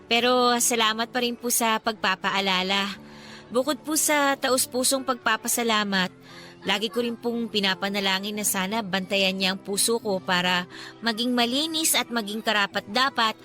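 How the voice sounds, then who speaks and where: A woman's voice: on the high side, normal speed, pushed and bright, faint music behind. A woman acting a cheerful, devout daughter in her twenties in a Filipino radio drama, with a playful, respectful and earnest manner and a Filipino accent. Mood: humble, grateful. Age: 20s. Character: cheerful, devout, teasing 28-year-old daughter.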